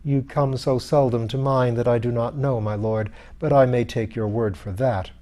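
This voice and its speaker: A man's voice: medium pitch, steady tone, moderate pace, warm tone, natural voice. A man voicing a cold, sardonic skeleton lady in an audiobook, with a dry, cutting, formally courteous manner. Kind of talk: audiobook character voice.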